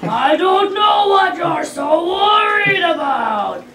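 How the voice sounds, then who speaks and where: A woman voicing a flighty, gossipy female dinosaur with a gravelly voice at a comedy table read. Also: extremely high pitch, lively intonation, slow, shouting, over a noisy crowd, full character voice. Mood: gushing. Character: girlish, gossipy dinosaur from a dream, comically flighty. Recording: very noisy, roomy, distant mic.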